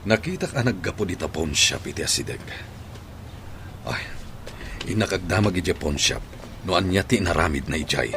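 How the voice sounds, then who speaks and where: A man playing a troubled husband and grown son in an audio drama. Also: sits low. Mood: suspicious, brooding. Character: troubled husband, an adult son confiding in his mother. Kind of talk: dramatic radio-drama dialogue.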